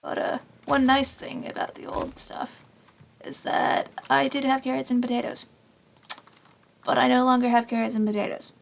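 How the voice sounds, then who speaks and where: A woman casually narrating her Minecraft game, rambling and self-deprecating, her voice slightly raspy from long talking. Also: high voice, steady tone, normal speed, a little hiss or hum. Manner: rambling, self-deprecating, talks to herself while playing. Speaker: gaming channel host. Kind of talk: casual Minecraft let's play commentary.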